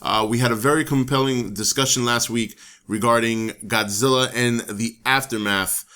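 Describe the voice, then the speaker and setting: Guy with a hoarse voice rambling to camera, mid pitch, talk show host. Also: fairly even intonation, normal speed, pushed and bright. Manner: rambling, thinking aloud with restarts. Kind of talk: casual solo talk to camera.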